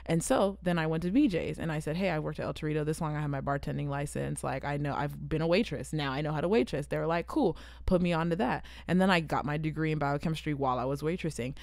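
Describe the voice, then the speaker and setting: A woman in her twenties with a Southern California accent tinged with British English, co-hosting a podcast. She rambles through animated stories on a close mic. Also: low-pitched, fast, vocal fry, hardly any pauses, no room sound. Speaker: podcast co-host. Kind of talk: casual chat.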